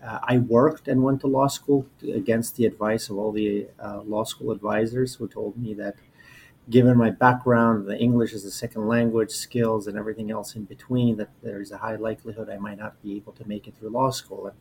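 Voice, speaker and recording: A man in his forties from Albania, a native Albanian speaker, telling his personal story as an interview guest in a halting, repetitive way. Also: on the low side, level tone, a little mellow, poor audio. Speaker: interview guest, corporate lawyer. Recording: noticeable background noise.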